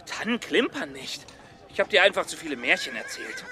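Flustered, kindly storyteller, swooping pitch. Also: male voice, wildly swooping pitch, a little fast. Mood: reluctant, indulgent. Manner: exclamatory, flustered, earnest. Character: kindly, fretful storyteller, childlike dreamer, gentle with children. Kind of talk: children's radio play acting. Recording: studio radio play with music.

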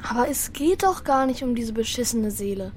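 A woman's voice: a little high, expressive, brisk, darkish tone, a little hiss or hum, natural voice. A woman playing a young boy who misses his brother, earnest and heartfelt, narrating and acting in a children's radio play. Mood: troubled, earnest.

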